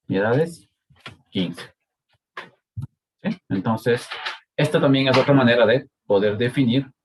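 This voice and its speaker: A man's voice: medium pitch, a little mellow, husky. A man with an Ecuadorian Spanish accent teaching a live coding lesson, stopping often to ask the students whether they are following. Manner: slow, checks in with frequent "¿sí?" and "¿de acuerdo?". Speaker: university lecturer.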